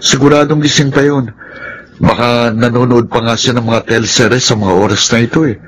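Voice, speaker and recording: A man's voice: mid-range voice, fairly even intonation, fairly quick, slight background noise, close to an everyday voice, low-quality recording. An actor with a Filipino accent playing a confident young man, a pushy, encouraging friend and love mentor, in a radio drama. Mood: urging, determined. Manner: urging, confident.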